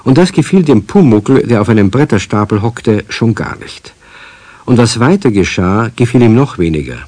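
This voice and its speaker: A man as the genial narrator of a children's radio play, telling the tale in an expressive storytelling manner. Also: fairly low pitch, moderate pace, no room sound. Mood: wry storytelling.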